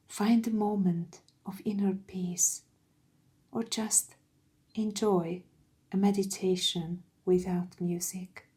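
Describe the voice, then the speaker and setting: A woman guiding a meditation in a soft, soothing, gentle voice with breathy phrase endings. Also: medium pitch. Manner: soothing, gentle, unhurried with long pauses. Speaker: meditation guide. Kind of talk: guided meditation narration.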